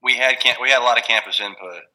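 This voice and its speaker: A man's voice: medium pitch, fast, bright, forceful tone, poor audio. A man answering press questions as a board official, explaining and restarting his sentences. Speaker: higher education board official.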